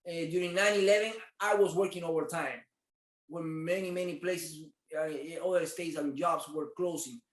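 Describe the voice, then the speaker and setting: A man's voice: on the high side, steady tone, moderate pace, echoey room. A man from Cuba, a business owner answering interview questions earnestly, repeating words as he searches for them. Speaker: martial arts studio owner.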